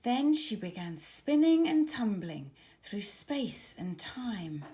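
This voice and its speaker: A woman children's author reading her storybook aloud with expressive, dramatic emphasis for young listeners. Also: medium pitch, slow, a little hiss or hum, low-quality recording.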